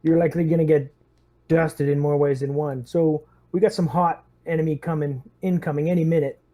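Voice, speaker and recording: A man's voice: on the high side, fairly even intonation, brisk, dark tone, lightly acted. A man voicing a blunt, foul-mouthed Marine lieutenant rallying his squad in a tabletop roleplaying game. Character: gruff, rousing Marine lieutenant, loyal to his brothers in arms.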